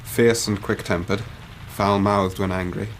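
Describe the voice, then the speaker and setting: Earnest, low-voiced English gentleman. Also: steady tone, rough recording. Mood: reflective. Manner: formal, measured, earnest. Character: earnest, well-spoken young English gentleman telling his story. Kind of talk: radio drama acting, literary narration. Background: storm wind ambience.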